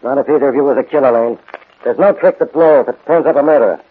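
Man playing irritable cop, American accent, husky, fast barking delivery, tinny vintage radio. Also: mid-range voice, lightly acted. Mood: stern. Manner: blunt, sarcastic, barking. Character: gruff, irritable, stubborn male police inspector. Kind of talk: 1940s radio detective acting. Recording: tinny old 1940s radio broadcast.

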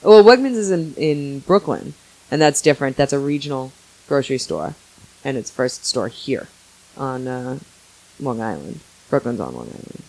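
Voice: medium pitch, expressive, normal speed, vocal fry, darkish tone, rough recording. A podcast host in their forties chatting casually, joking in a wry, exasperated way. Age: 40s. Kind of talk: casual banter.

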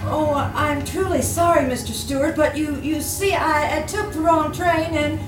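Radio drama ingenue, played by an actress: high, deferential, naive young secretary. Roomy stage, music behind. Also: female voice, steady tone, moderate pace, far from the mic, natural voice. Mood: apologetic, eager. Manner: deferential, hesitant, earnest. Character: meek, eager young female secretary, polite and naive. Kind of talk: old-time radio drama acting.

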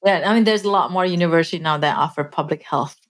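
A woman's voice: fairly low pitch, fast. A woman hosting a podcast interview, asking questions in a friendly conversational way. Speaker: podcast host.

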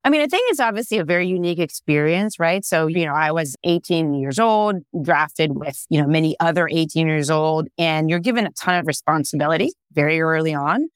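A woman's voice: deep voice, animated, a little fast, few pauses, no echo, clean studio sound. A woman in her thirties, born and raised in Israel, answering interview questions as a startup founder, thoughtful and full of "you know" fillers. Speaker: startup founder and CEO, guest.

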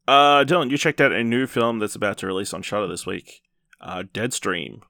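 A man's voice: on the low side, expressive, brisk, creaky, sharp tone, no room sound, clean studio sound. A man hosting a podcast, casually introducing each segment and handing over to his co-host.

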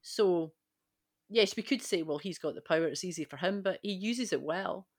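A woman's voice: fairly low pitch, normal speed. A woman on a discussion panel, speaking conversationally in an earnest, reflective way. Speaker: podcast panellist.

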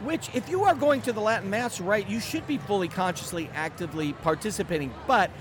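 A man in his forties, a guest on a casual podcast interview, talking in an animated and emphatic way with self-deprecating humor. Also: sits high, brisk. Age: 40s. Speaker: guest speaker. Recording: outdoor noise in background.

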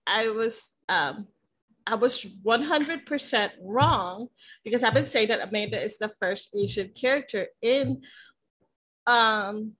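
A woman's voice: on the high side, takes it slowly, a bit bright, low-quality recording. A woman in her thirties from the Philippines and the United States, hosting a podcast in a casual, chatty, giggly and effusive way. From born in the Philippines, moved to the United States at seven. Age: 30s. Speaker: podcast host.

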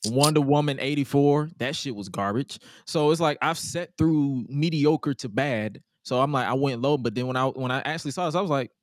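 A man's voice: medium pitch, brisk, hardly any pauses, no room sound, clean studio sound. A man in his twenties hosting a podcast in a loose casual chat, profane and repeating himself. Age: 20s. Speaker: podcast host.

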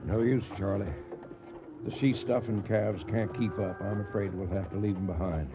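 An actor playing a steady, pragmatic veteran drover in a Western radio drama, with grave, plainspoken cowboy talk. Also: male voice, fairly low pitch, moderate pace, muffled recording, background noise, lightly acted. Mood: grim.

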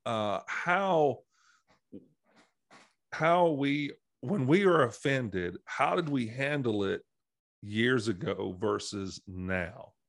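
A man hosting a church podcast, talking through the topic in a casual, rambling way, his voice slightly hoarse from allergies. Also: medium pitch, animated, drawn-out words, no room sound, clean studio sound. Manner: rambling, many fillers and restarts. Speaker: podcast host. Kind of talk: casual teaching conversation.

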